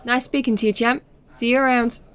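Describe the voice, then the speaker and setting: A woman playing a nosy, cheeky, smooth-talking investigator in an audio drama. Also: on the high side, steady tone, fairly quick, natural voice. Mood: probing, breezy.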